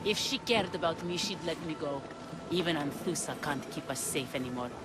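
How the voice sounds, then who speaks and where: A woman's voice: sits low, animated, brisk, background noise. A woman playing a guarded, strong-willed character from the ancient world in a cinematic video game. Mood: defiant, pleading. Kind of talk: cinematic game dialogue acting.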